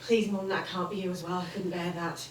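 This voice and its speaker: A woman's voice: lowish voice, little pitch movement, brisk, close to an everyday voice. Casting: woman playing concerned adult daughter, Northern English, theatrical, echoey hall, recorded from a distance. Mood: worried, pleading. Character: comedienne's adult daughter, worried, caring, pleading. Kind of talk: theatrical stage acting.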